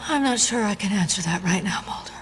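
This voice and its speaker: A woman's voice: mid-range voice, lively intonation, fast, close to an everyday voice. An actress playing a shaken but defiant female FBI agent in an audio drama, serious and hesitant. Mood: shaken, subdued. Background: dramatic music.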